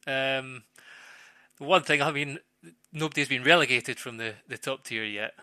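A man giving off-the-cuff football analysis as a pundit, haltingly, searching for words and repeating phrases. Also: medium pitch, bright, forceful tone, clear, resonant tone, no echo.

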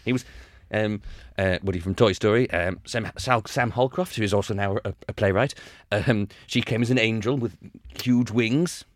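A man telling a rambling anecdote as a comedy podcast guest, halting and laughing as he goes. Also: lowish voice, no room sound, clean studio sound. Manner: halting, many restarts, laughs often.

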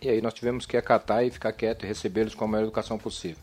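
A man's voice: fairly low pitch, level tone, racing, a little mellow. A man, a football coach and former player, telling stories bluntly in casual slang as a radio show guest. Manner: blunt storytelling with frequent slang. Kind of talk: casual chat.

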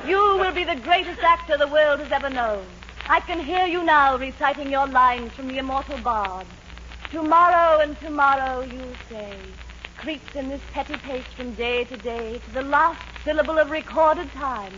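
High-voiced, gushing theatrical diva. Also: female voice, normal speed, bright, forceful tone. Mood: grand, persuasive. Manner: grandiose, gushing, melodramatic. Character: scheming theatrical stage actress, gushing seductive flatterer. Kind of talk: 1940s radio comedy acting. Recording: muffled, noisy 1940s radio recording.

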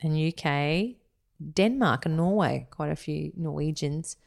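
A woman's voice: unhurried, gravelly. A woman in her thirties co-hosting a podcast in casual, chatty talk, laughing often. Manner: chatty, laughs often, expressive swings in pitch. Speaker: podcast co-host. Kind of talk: casual chat.

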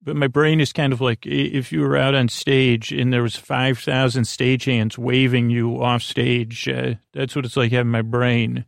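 Guy doing a sleepy-time podcast, gravelly lulling voice, rambles off on tangents, really dry recording. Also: mid-range voice, little pitch movement, moderate pace, few pauses, no room sound. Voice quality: creaky, lulling voice. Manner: hesitant, digressive, full of tangents and trailing thoughts. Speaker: podcast host. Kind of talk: rambling, meandering bedtime monologue.

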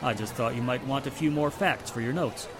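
An actor playing a matter-of-fact, assertive police inspector in the style of a 1940s radio mystery. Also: male voice, medium pitch, fairly quick, crowd noise, close to an everyday voice. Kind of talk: 1940s radio drama acting. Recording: music and street sounds behind the voice.

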